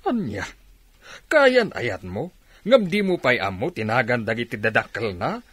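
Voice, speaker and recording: An impatient old grandfather in a radio drama. His mid-pitched voice is husky and rough, skeptical and scolding. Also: very animated, a little slow, fairly bright tone, dry recording, exaggerated voice.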